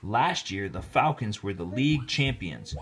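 A man reading a children's story aloud in an expressive, deliberate storytelling manner, with casual asides. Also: lowish voice, gravelly, background noise. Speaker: storybook reader, teacher. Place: indoors, filming the book held in hand.

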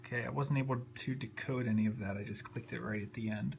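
Casual man with a creaky voice. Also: mid-range voice, steady tone, quick pace. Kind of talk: casual unscripted tutorial narration. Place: indoors at a computer desk. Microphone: handheld camera, a little off the screen. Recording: faint background hum and noise.

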